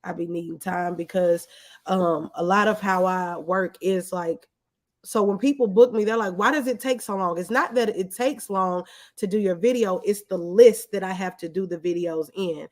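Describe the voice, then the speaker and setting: A woman's voice: on the low side, moderate pace. A woman talking casually as an interview guest, informal and colloquial in a reflective way. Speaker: guest, videographer.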